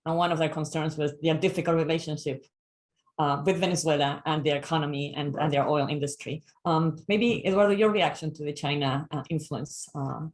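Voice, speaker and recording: Polite moderator handing off questions. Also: male voice, fairly high pitch, fairly even intonation, a little fast. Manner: polite, brief handoffs. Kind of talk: panel moderation.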